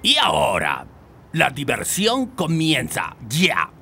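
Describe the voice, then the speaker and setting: An actor playing a scheming elderly villain scientist in a cartoon, gloating, theatrical and commanding. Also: male voice, fairly high pitch, huge pitch swings, takes it slowly, vocal fry, over music, exaggerated voice. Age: older. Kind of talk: cartoon dub acting.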